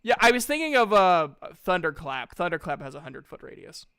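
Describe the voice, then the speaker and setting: A man acts as game master in a tabletop session. His voice is bright and on the high side, and he moves between informal table talk and loose, casual narration. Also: big pitch swings, brisk, gravelly, clear, resonant tone.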